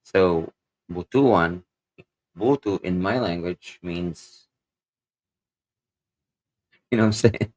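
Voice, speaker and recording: A man with a Kapampangan accent co-hosts a relaxed livestream. His voice sits on the low side, and he reads viewer comments aloud in a meandering, easygoing way, with plenty of "um" and "you know what I'm saying". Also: slow pace, pauses often, low-quality recording. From Philippines. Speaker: livestream co-host. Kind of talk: casual livestream chat, reading viewer comments.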